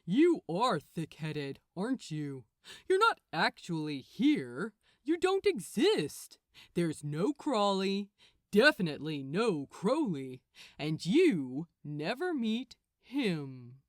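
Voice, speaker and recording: A woman's voice: on the low side, huge pitch swings, takes it slowly, no echo, clean studio sound, full character voice. A woman voicing a smug, condescending male archangel in a story, with a taunting, emphatic manner.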